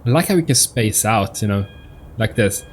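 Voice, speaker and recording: A man's voice: fairly low pitch, lively intonation, normal speed, a little hiss or hum. A man giving casual game commentary as a let's-player, hesitant and often repeating himself while searching for words. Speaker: gaming host, let's-player.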